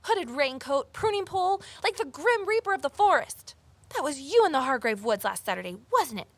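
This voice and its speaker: Casting: amateur sleuth woman, slightly high voice, pointed, questioning, expressive audio drama delivery. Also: fairly quick, no room sound. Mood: startled, accusing. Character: Evelyn, sharp, inquisitive amateur sleuth woman. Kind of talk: full-cast audio drama acting, narration and dialogue.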